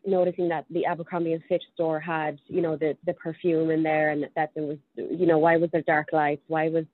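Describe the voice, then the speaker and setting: A young Irish woman with a low voice talks through an interview, chatty and full of ums as she works out her thoughts. Her voice comes over a thin, tinny line that sounds like a phone call. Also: flat delivery, a little fast, no room sound. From Ireland. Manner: chatty, thinks aloud with frequent ums. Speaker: guest. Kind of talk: casual interview conversation.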